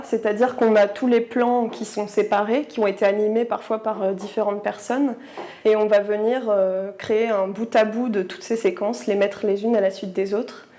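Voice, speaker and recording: A woman working in animation explaining her job, pausing often with fillers. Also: medium pitch, warm tone. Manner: hesitant, many fillers. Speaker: compositing artist. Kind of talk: explanatory interview.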